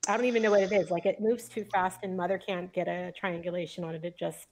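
A woman's voice: fairly low pitch, steady tone, fast talker, slight background noise. A woman playing a tabletop roleplay game, improvising her crew member's lines in loose, casual chat. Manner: informal, loose, swearing. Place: indoor room at home, on a video call.

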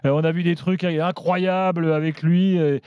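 A man's voice: fairly high pitch, steady tone, moderate pace, clean tone, dry recording, highs cut off. A male radio host in a studio, asking a caller casual, probing questions. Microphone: studio microphone.

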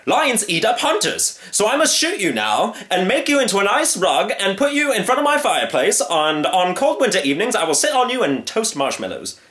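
Man as a blustering high-voiced storybook hunter. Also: wildly swooping pitch, a little fast, hardly any pauses, fairly bright tone, reverberant, exaggerated voice. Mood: blustering, insistent. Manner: pompous, emphatic, exclamatory. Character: blustering, alarmist, pompous male lion hunter.

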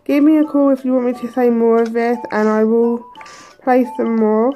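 Croaky woman chatting over a game. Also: on the high side, level tone, moderate pace, highs cut off, soft background music. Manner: chatty, hesitant with many ums. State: a bit croaky from a cold. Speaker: let's play host. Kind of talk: casual game commentary. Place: indoors at a table.

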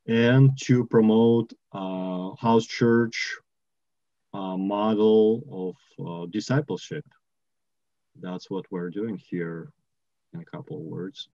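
A man in his thirties with a Russian accent, a missionary answering interview questions on a video call, slowly and carefully with many pauses. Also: lowish voice, dark tone, no echo. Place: home room with bookshelves, indoors. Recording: dull lo-fi call audio.

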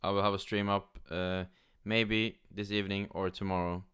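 A man from Sweden with a Swedish accent, giving casual, somewhat halting gaming news commentary. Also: low-pitched, level tone, a bit bright, clean tone. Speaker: gaming YouTuber. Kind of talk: casual news commentary, partly reading aloud.

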